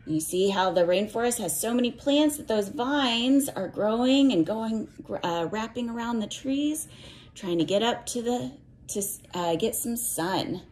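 A woman with a fairly high voice reads a picture book aloud for young children. Her delivery is very slow and falls into a sing-song cadence. She explains things in simple, instructive phrases. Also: animated, a little mellow, a little hiss or hum.

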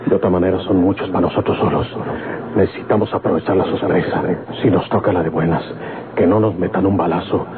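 Man acting a commanding low-voiced Mexican bandit, tinny old radio. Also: steady tone, brisk, crowd noise, close to an everyday voice. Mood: grim, resolute. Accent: rural northern Mexican. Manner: terse, commanding, rustic. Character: tough, commanding male bandit on the run.

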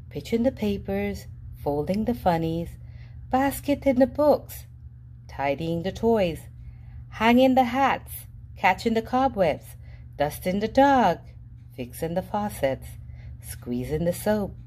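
A woman reading a children's picture book aloud in a lively, sing-song storybook voice with playful jumps in pitch. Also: slight background noise. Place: indoors at a table, reading an open book. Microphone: camera above the book, reader unseen.

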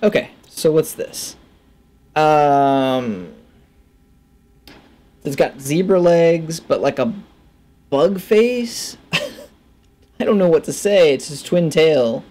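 A man in his thirties giving casual gameplay commentary, halting and muttering to himself as he names his discoveries. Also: fairly high pitch, expressive, a little mellow. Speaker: gaming video host. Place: small indoor room at a computer.

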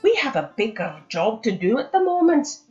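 A woman's voice: a little high, big pitch swings, moderate pace, darkish tone, soft background music. A woman voicing a young male wooden spoon in a storybook, an earnest, rallying leader, in a slightly muffled recording.

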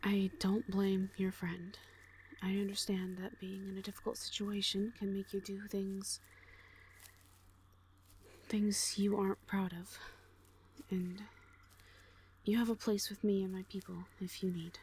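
A woman playing a calm, reassuring orc mercenary leader in an audio drama, speaking softly in measured, plain, short phrases. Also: mid-range voice, a little hiss or hum, close to an everyday voice. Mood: calm reassurance. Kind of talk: audio roleplay drama acting.

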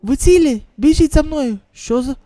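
A manga reader voicing an anxious, excitable young character with a high, stammering, pleading delivery. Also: moderate pace, no room sound. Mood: alarmed.

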